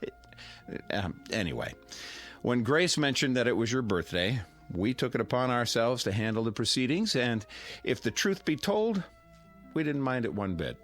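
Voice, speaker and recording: An American actor playing a genial, sentimental middle-aged neighbor who makes a halting, teasing after-dinner speech in a radio drama. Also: male voice, medium pitch, sing-song, faint music behind, close to an everyday voice. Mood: playful ribbing.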